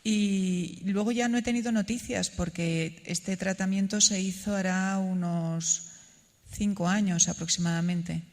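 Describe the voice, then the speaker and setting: A panelist calmly explaining a research case in answer to a question. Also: mid-range voice. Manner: measured, explanatory. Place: large hall. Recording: echoey hall.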